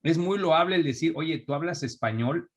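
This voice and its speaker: A young adult man from Mexico with a Mexican accent, speaking as an interview guest in a relaxed, reflective way with frequent restarts. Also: a little high, moderate pace. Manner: thoughtful, restarts often, reflective. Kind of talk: conversational interview.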